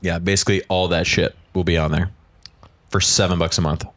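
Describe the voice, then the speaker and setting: A man's voice: deep voice, fairly even intonation, fairly quick. A man hosting a casual podcast chat among friends, joking, swearing freely and steering the talk. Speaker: podcast host. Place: room shared with friends.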